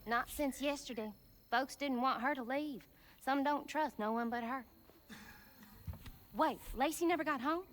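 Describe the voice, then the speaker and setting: A woman's voice: high-pitched, measured pace, pauses often, a little hiss or hum. A woman playing a grave, caring older Southern churchgoing woman in a video game, earnest and folksy, with an American Southern accent. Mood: worried. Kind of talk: video game cutscene acting.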